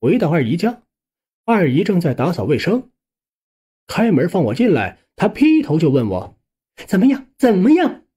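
A man's voice: a little high, huge pitch swings, normal speed, mellow, husky. A man narrating a first-person story as an audiobook, acting out the dialogue expressively.

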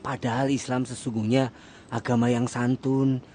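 An actor playing a soft-spoken former militant man who has come back from prison humbled and repentant, in a radio drama. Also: mid-range voice, level tone, moderate pace, slight background noise, lightly acted. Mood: remorseful. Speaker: villager returned from prison.

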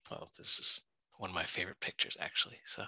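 Bright-voiced man presenting on a call. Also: fast, no echo. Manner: chatty, frequent fillers and restarts. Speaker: library staff presenter. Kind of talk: casual slide presentation on a video call.

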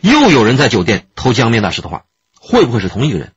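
An actor playing an eager, outspoken young police detective who impulsively interjects in a radio drama. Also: male voice, mid-range voice, wildly swooping pitch, quick pace, husky, no echo, exaggerated voice. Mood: surprised, insistent. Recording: muffled, dull radio drama recording.

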